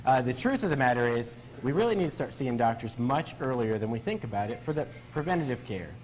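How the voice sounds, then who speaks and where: Guy doctor on an interview, explaining stuff conversationally, average pitch. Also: lively intonation, fast talker, darkish tone, poor audio.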